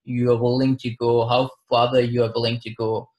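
A young man from western India with an Indian accent, explaining things in a measured, matter-of-fact way as an interview guest. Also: medium pitch, little pitch movement, darkish tone, rough recording. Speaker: interview guest, marketing advisor. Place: plain-walled room, indoors.

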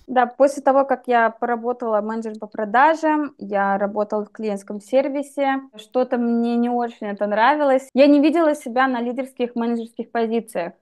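A young woman from Russia speaks over a video call in a somewhat high voice. Her telling is candid and measured, and she reflects openly as she goes. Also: a little mellow. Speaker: guest, English teacher. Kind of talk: personal story in interview. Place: dim room indoors. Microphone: video call webcam.

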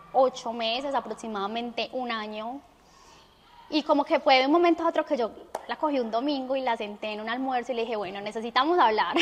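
High-voiced young Colombian woman, reverberant. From Armenia, Colombia. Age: young adult. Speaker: interview guest. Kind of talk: conversational interview answers. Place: indoor studio set. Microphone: lapel mic. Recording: reverberant studio room.

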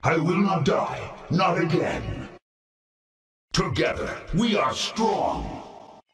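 An actor playing a defiant, stoic defender in a fantasy shooter game. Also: male voice, fairly high pitch, sing-song, very slow, vocal fry, raised voice, faint music behind, heavily acted. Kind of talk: dramatic game voice lines. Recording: echoey, distant from the mic.

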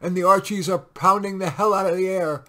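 A man's voice: fairly high pitch, a little fast, lightly acted. A man voicing an anxious, deferential army sergeant in a story reading. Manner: deferential, urgent.

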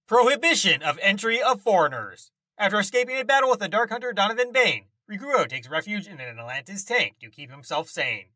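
A man narrates from a script in a high voice for a male. His pitch moves in lively swings, and the sound is dry, with little room around it. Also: no echo, low-quality recording. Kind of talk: scripted narration, reading out episode summaries.

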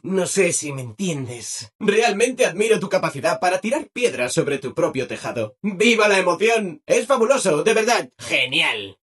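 A high-pitched, husky-edged robot helper from a video game, speaking with a Castilian Spanish accent. The delivery swings widely up and down, chirpy and eager to please, with a politeness that sounds almost mocking. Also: male voice, very animated, normal speed, a bit bright, heavily acted. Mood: eager, bubbly. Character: relentlessly cheerful, eager-to-please male robot assistant. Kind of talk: scripted video game character acting.

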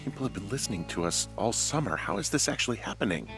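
An actor playing an anxious, unsure fire lookout in a video game, talking in a natural, hesitant way. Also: male voice, fairly low pitch, big pitch swings, fast talker. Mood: uneasy. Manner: hesitant, conversational. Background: game music.

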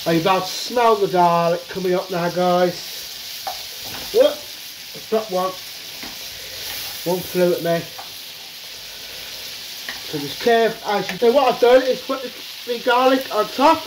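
A man's voice: high-pitched, unhurried, long pauses. Man, casual cooking talk, home kitchen, noisy phone recording. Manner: chatty, keeps saying "guys", working class speech. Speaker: home cooking show host. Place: home kitchen at the stove, frying sounds.

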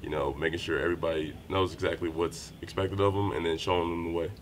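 A young college athlete with a very low voice speaks in an even, flat tone. He answers reporters calmly and steadily, with a lot of "you know" and "um". A clear hum of background noise runs under his voice. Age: young adult. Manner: low-key, measured, with frequent fillers. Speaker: college basketball player. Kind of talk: postgame press interview. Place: small indoor room by a wall. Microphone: handheld reporter mics held close.

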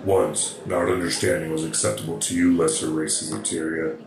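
A man voices a robot golem preacher in an audio drama, extremely deep and gravelly. It speaks in solemn, pompous, formal sentences, with echo around it. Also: level tone, normal speed. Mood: preachy. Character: preachy, literal-minded golem robot shaped like an Asura. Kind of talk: fan audio drama acting. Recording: echoey, with loud music.

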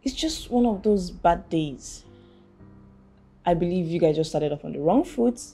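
Husky, mellow peacemaking friend. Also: female voice, on the low side, expressive, a little slow, faint music behind. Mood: calm, coaxing. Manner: placating, advising. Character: calm, peacemaking young female friend. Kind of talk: film drama acting.

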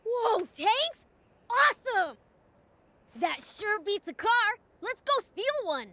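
A woman's voice: very high voice, big pitch swings, slow, pushed and bright, no room sound, full character voice. A woman voicing a cocky, eager young demon prince in a video game, with a brash, boyish manner. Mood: excited, eager. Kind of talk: video game cutscene acting.

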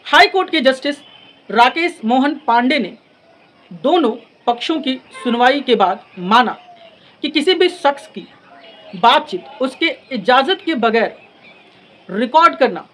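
A man teaches in a notably high voice. He lectures deliberately, phrase by phrase, mixing in English legal terms and repeating points for emphasis. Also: animated, a bit bright, low-quality recording. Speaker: law lecturer. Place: in front of a classroom blackboard. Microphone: lapel mic.

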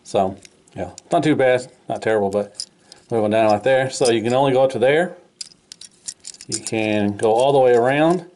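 A man with a mid-pitched voice reviews toys casually. He talks in an informal, folksy way and tends to repeat his phrases. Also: moderate pace, pauses often, darkish tone, slight background noise. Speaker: toy reviewer. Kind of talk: casual hands-on product review.

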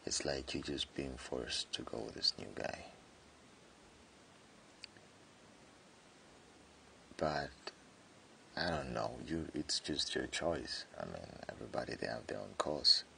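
A man voicing a jealous tsundere friend confessing hidden love, speaking haltingly in an intimate boyfriend ASMR roleplay. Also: expressive, sharp tone, slight background noise, full character voice. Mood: hesitant, sulky. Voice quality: very deep, gravelly. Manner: hesitant, halting, with stammered repeats.

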